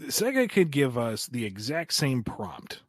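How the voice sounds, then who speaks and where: A man's voice: medium pitch, very animated, unhurried, no room sound, studio quality. A man explaining things casually as a writer.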